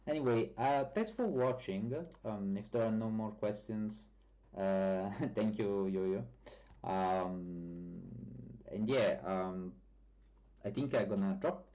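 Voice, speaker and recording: A man's voice: lowish voice, slow pace, warm tone. A man with a strong Italian accent and Italian as his first language, chatting casually on a live stream as a developer answering viewer questions, often correcting himself. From Italy.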